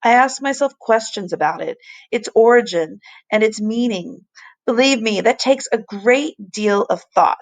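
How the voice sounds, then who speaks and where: A woman voicing an earnest, kindly male professor in a storybook, explaining things deliberately and with emphasis. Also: on the high side, voice pushed up, low-quality recording. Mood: earnest, explanatory.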